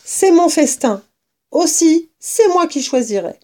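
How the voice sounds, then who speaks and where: A woman's voice: extremely high pitch, sing-song, takes it slowly, mellow, pitched above the performer's own voice, heavily acted. A woman voicing a jovial, scheming fox father who relishes a stolen feast, exuberant and commanding. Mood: bossy.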